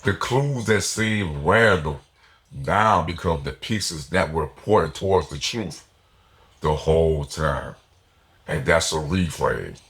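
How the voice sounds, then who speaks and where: Stuffy-nosed guy with a cold hosting his podcast, real low voice, earnest. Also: fairly bright tone. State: has a cold, nasal and foggy. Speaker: podcast host. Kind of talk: motivational podcast monologue.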